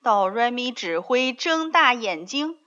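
Slow, high-voiced woman telling children's stories. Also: dull audio. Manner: expressive, enunciated storytelling to young listeners. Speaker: children's storyteller. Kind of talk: scripted fairy-tale narration for children.

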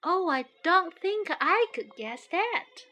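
A woman with a Mandarin Chinese first language voicing a gentle, loving big father hare in a storybook, speaking slowly and tenderly. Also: extremely high pitch, sing-song, full character voice. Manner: slow, tender, soothing.

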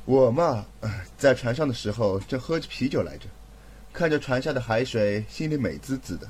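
A man's voice: medium pitch, lively intonation, normal speed, a little mellow, slight background noise, close to an everyday voice. An actor playing a tipsy, laid-back young man adrift at sea in an audio drama, wry and casual in manner. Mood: breezy, tipsy.

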